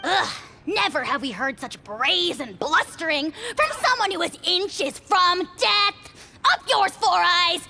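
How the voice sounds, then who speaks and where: A tiny, self-important dragon lord spits grandiose insults in a very high, gravelly, piercing voice. His pitch leaps wildly from word to word, with faint game ambience underneath. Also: lively intonation, slow, sharp tone, a little hiss or hum, exaggerated voice. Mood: furious. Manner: grandiose insults, blustering tirades. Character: tiny pompous male dragon lord, hot-tempered.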